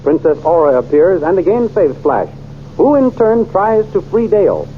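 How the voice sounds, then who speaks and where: A man's voice: sits high, very animated, normal speed, soft background music. A man narrating a 1930s radio serial with an emphatic storytelling delivery, in a dull lo-fi old recording.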